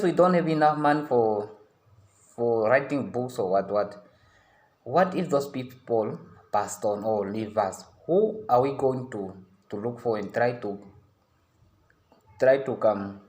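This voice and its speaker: A man's voice: mid-range voice, darkish tone. Earnest young man pleading. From Namibia. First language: a San language. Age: young adult. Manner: earnest, pleading, repeats himself and restarts. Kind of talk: impassioned public appeal.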